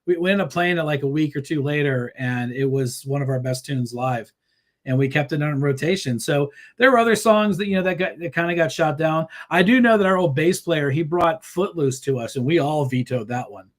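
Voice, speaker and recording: Rambling, animated man telling band stories. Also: fairly high pitch, fairly quick. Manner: rambling, many fillers and restarts; laughs easily, animated storytelling. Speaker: musician guest. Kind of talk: casual interview chat.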